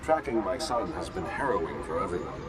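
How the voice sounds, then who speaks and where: A man playing a self-assured older professor and mentor in a motion comic, speaking in a measured, articulate, lecturing way. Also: low-pitched, sing-song, a loud crowd. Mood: assured, explanatory.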